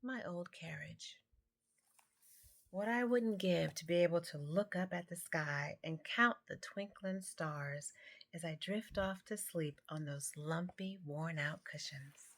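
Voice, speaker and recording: Woman reading as a wistful old tomcat, drawn-out. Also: fairly low pitch. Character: old male alley cat, homesick, easygoing, grateful. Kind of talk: storybook character voice.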